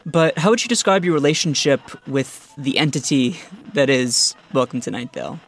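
Male podcast interviewer, voice a little high, conversational and tentative in his questioning. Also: normal speed.

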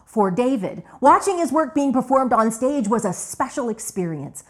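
A woman's voice: a little high, animated, normal speed. A woman hosting and narrating a radio documentary from a script. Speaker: host and narrator of a radio retrospective.

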